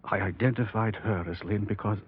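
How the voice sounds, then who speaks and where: A grieving doctor, muffled old recording. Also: male voice, on the low side, slightly rough. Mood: weary, confessing. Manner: halting, weary, subdued. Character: grieving, resigned middle-aged doctor and father. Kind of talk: 1950s radio drama acting.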